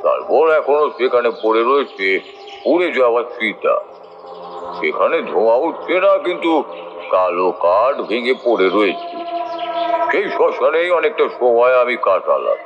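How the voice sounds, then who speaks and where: A man's voice: medium pitch, lo-fi sound. A man narrating a suspense tale in the first person, in a dramatic scripted style.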